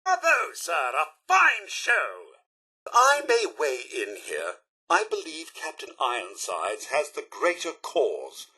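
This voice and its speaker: A fussy British robot butler for a video game. The voice is high and sharp with a raspy edge. He speaks with formal, theatrical politeness, his pitch swinging widely, ever ready to moralize. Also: heavily acted. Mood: polite, earnest. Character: fussy, proper male robot butler, polite, easily indignant. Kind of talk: video game character voice lines.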